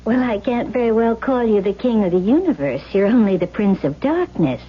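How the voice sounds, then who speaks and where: A woman's voice: mid-range voice, expressive, fairly quick, lightly acted. A woman playing a scheming, cheeky demon temptress in a vintage radio drama, teasing and coy. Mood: teasing, pointed. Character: sly female demon temptress, Satan's cheeky, scheming assistant. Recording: muffled old radio recording with hiss and hum.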